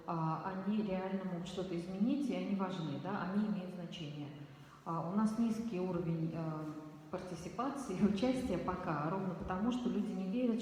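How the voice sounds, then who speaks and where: A woman's voice: fairly low pitch, warm tone, a little hiss or hum. A woman interpreting a talk and adding her own explanation, hesitating with many fillers. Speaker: interpreter and organizer. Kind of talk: consecutive interpreting and commentary. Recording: roomy hall with long echo.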